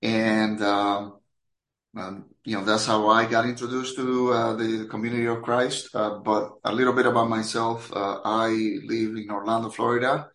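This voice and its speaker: A man in his fifties from the Dominican Republic, a native Spanish speaker with a Dominican accent, casually telling his story as a podcast guest in a hesitant, halting way, in a roomy echoey recording. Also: fairly low pitch, flat delivery, fairly bright tone. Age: 50s.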